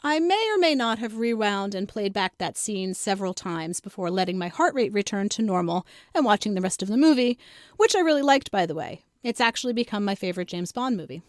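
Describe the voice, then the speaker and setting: A woman reading her own first-person comic story in a conversational, wry, expressive and self-mocking way. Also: mid-range voice, brisk, dry recording, clean studio sound. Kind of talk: scripted first-person comedic narration.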